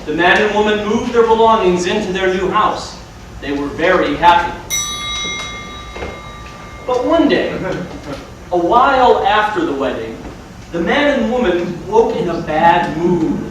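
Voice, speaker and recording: A man's voice: sits high, animated, unhurried, pauses often, recorded from a distance, background noise. A man playing the storyteller of a folktale, giving scripted narration in a stage play. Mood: measured. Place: large room or hall, distant mic. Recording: echoey stage from afar, music behind.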